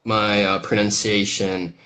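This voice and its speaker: A lowish male voice with a clear Brazilian accent, speaking English as a second language. He talks in a relaxed, halting way, full of "you know" and restarts, as a guest on a video-call interview. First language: Portuguese. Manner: halting, many fillers and restarts. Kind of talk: casual interview chat.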